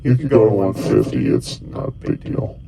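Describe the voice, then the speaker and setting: A man's voice: on the low side, sing-song, normal speed, gravelly, warm tone, poor audio. A man giving a casual step-by-step tutorial, thinking aloud as he presses buttons and talking in loose, rambling phrases. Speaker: costuming hobbyist giving a tutorial.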